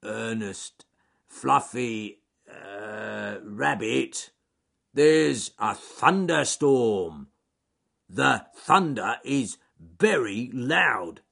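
A man's voice: mid-range voice, expressive, very slow, fairly bright tone, exaggerated voice. A man voicing a large, gloomy, proud male bear in a storybook, with a growly voice and a ponderous, grumbling manner. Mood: slow, earnest. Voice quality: growly, husky.

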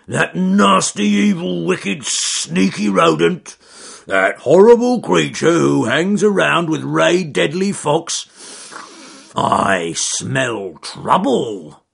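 A man doing a gruff, booming bear. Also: very animated, measured pace, full character voice. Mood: outraged. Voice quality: gruff, growly. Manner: loud, booming delivery. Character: big gruff male bear, protective.

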